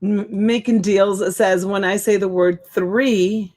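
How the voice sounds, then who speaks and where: A woman's voice: medium pitch, steady tone, takes it slowly, a little mellow. Chatty Boston woman running a live sale. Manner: chatty, greets viewers by name with endearments. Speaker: live-stream seller and host. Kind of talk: casual live-stream auction chat. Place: home room with shelves. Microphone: desk USB mic.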